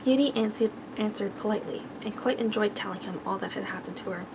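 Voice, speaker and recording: A woman reads a bedtime story as soft-spoken ASMR, with a creaky, fry-edged voice at a medium pitch. She stumbles a little over the written words. There is clear background noise on the recording. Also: quick pace.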